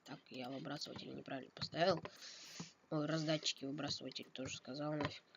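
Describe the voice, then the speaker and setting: Gravelly man rambling through a game tutorial. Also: on the high side, fairly even intonation, fast. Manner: rambling, thinks aloud and corrects himself. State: a bit ill, coughing now and then. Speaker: game tutorial video maker. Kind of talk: casual screen-recorded game tutorial. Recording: dull lo-fi mic with noise. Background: keyboard and mouse clicks.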